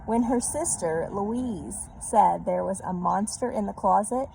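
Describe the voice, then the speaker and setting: A woman reads a picture story aloud at an unhurried pace, with noticeable creak in her voice. She breaks off for chatty asides and checks in with small tag questions. Faint noise sits behind her. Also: mid-range voice, dark tone, low-quality recording.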